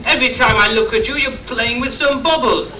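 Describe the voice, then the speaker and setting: Scolding pantomime dad, acted by a man, piercingly high and bright, theatrical rhyming delivery, amateur stage. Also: brisk, heavily acted. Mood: exasperated. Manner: theatrical, declaiming in rhyme. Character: exasperated, scolding father of a bubble-obsessed boy. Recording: distant, echoey amateur stage recording.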